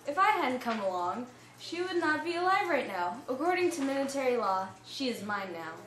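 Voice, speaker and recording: A woman's voice: high-pitched, very animated, normal speed, a bit bright. A young woman playing a blustering, possessive male frontier trader in an amateur puppet show, with emphatic declarations. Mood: insistent. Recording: echoey room with faint noise.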